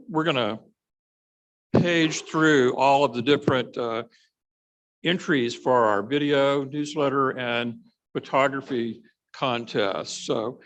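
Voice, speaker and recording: A man speaks very slowly as he presents to a meeting. His higher-than-deep voice gives a halting, unscripted announcement. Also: poor audio.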